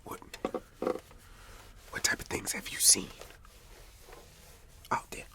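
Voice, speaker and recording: A man playing a troubled, God-fearing farmer, telling his story slowly in a breathy ASMR whisper and repeating phrases in a folksy way. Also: close to an everyday voice. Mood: troubled, hushed. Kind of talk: whispered ASMR roleplay monologue.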